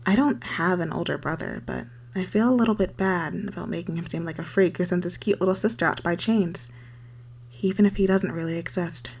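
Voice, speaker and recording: A woman playing an unstable, lovesick young circus girl turned kidnapper in an intimate ASMR roleplay, speaking haltingly in a breathy voice that slips into whispers. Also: fairly low pitch, a little mellow. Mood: coy, unsettling. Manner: intimate, halting, stammering, swinging from sweet to desperate. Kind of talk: scripted ASMR roleplay monologue.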